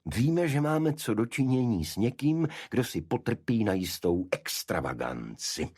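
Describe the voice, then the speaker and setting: A man voicing a shrewd, serious Victorian detective in an audiobook, speaking deliberately and pointedly. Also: medium pitch, big pitch swings, a little mellow, breathy edge, no echo, studio quality, voice pushed up. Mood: intrigued, deliberate.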